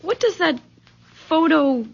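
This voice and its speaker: A woman playing an anxious, excitable young woman in a vintage radio drama, with an urgent, alarmed manner. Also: sits high, slow pace, creaky, exaggerated voice. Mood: bewildered. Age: young adult. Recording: dull, faintly noisy vintage radio.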